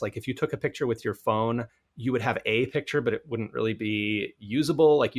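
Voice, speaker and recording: Gravelly-voiced male podcast host. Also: medium pitch, fairly bright tone. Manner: thoughtful, conversational, asks questions. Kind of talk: podcast interview.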